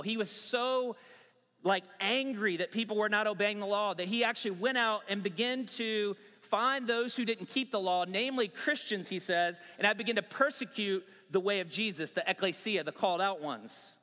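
Bright high-voiced man preaching in a hall. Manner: conversational preaching with pop-culture asides. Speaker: pastor. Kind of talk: sermon.

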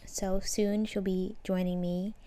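A woman's voice: medium pitch, little pitch movement, slow, dark tone. A young woman hosting a podcast in a casual, enthusiastic, conversational way. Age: young adult. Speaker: podcast host. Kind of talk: casual podcast intro and interview.

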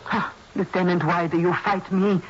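An actress playing a serene, persistent psychic medium in a radio mystery, formal and theatrical. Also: female voice, fairly low pitch, level tone, normal speed, natural voice. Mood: persuasive. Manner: formal, grandiose, unflappable. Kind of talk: old-time radio mystery drama acting. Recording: dull, noisy old radio broadcast recording.

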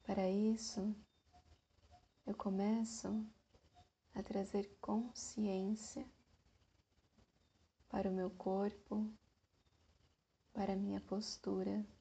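Lady doing guided meditation, super slow w long pauses, soft breathy mellow voice. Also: medium pitch, flat delivery.

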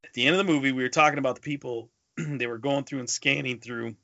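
Male podcast co-host, casual anecdotal storyteller, mid-pitched voice, dry but slightly lo-fi recording. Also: quick pace, sharp tone, dry recording. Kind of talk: casual movie review chat. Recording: dull lo-fi podcast audio.

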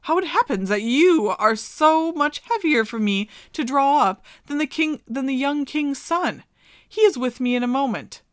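A woman's voice: sits high, lively intonation, moderate pace, dry recording, clean studio sound, voice pushed up. A woman voicing a naive young maiden who speaks eagerly and innocently.

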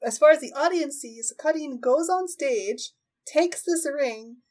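A woman with a fairly high voice hosts a casual podcast chat. She talks in a halting, loosely structured way with a giggly streak, as if retelling a show to a friend. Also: a little mellow. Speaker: podcast host. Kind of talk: casual chat, recapping an anime.

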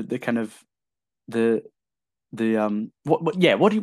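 A man's voice: on the low side, a little mellow, dry recording. A seventeen-year-old co-hosting a casual film chat, feeling his way through questions with lots of hesitations. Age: teens. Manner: hesitant, many fillers and restarts. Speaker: podcast co-host.

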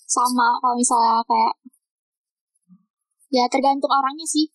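High-voiced teen girl, slight Surabaya lilt. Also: fairly even intonation, unhurried, dry recording, poor audio. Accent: slight Surabaya lilt over Jakarta slang. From Jakarta. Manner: chatty, trails off into fillers. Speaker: guest. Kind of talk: casual chat on a call.

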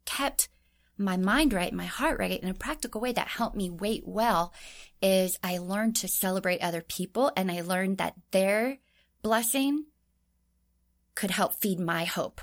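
Woman, thirties, from Southern California, podcast guest, expressive storyteller, mid-pitched voice. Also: measured pace. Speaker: guest, beauty coach. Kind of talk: podcast interview, personal testimony.

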